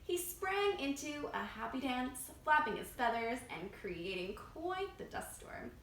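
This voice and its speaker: A woman reads a picture book to young children. Her voice is high and full of lively swings, expressive like a storytime reader. The room is echoey with faint noise behind her. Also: measured pace. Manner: expressive, asking young listeners questions. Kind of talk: animated picture book read-aloud to children. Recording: roomy echo, faint background noise.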